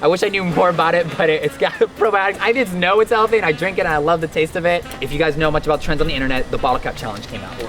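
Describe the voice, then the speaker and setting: Upbeat young man, slightly high voice. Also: rapid-fire, hardly any pauses, a bit bright, soft background music. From Dominican Republic. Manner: earnest and upbeat, yelping at the cold. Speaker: guest. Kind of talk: casual interview chat.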